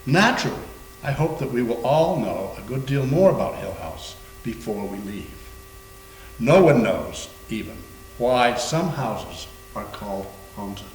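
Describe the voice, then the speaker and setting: Male actor as learned haunted-house investigator, grave deliberate storyteller, readers theater, echoey hum-tinged room. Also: medium pitch, lively intonation, lightly acted. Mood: grave, measured. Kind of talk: staged readers theater performance.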